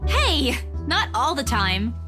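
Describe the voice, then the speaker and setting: A woman playing a bubbly, easily flustered young woman in a visual novel game, with expressive delivery. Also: sits high, takes it slowly, faint music behind, exaggerated voice. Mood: playful. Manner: animated, expressive.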